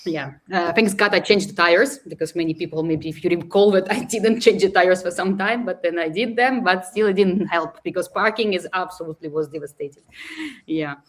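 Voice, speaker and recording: Chatty woman host, lower voice. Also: a little fast. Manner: chatty, laughs often, reads out comments. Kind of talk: casual hosting of an online live session.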